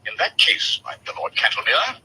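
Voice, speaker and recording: An actor playing a shrewd, courteous detective with dry mischief in a 1940s-style radio drama, speaking formally and theatrically. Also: male voice, moderate pace, sharp tone, rough recording. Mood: polite, probing. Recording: old radio recording with faint noise.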